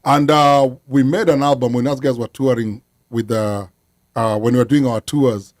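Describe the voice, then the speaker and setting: A man's voice: medium pitch, fairly even intonation, moderate pace, no echo, clean studio sound. A man, a musician guest talking earnestly in a casual interview. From Kenya. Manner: earnest, explains at length with frequent fillers.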